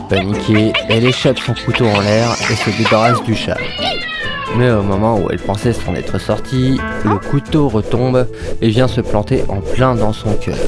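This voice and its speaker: A slightly deep voice over clear background music: a man performing an exasperated police inspector in an audio comedy. He is bossy and sure of himself, delivering everything in a weary deadpan. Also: natural voice. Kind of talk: comedic audio drama acting. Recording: music under the voice.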